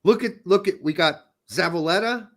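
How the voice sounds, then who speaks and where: A man's voice: sits high, normal speed, a bit bright. A man co-hosting a sports podcast, ranting and swearing in a casual debate. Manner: profane, ranting, emphatic. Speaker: podcast co-host.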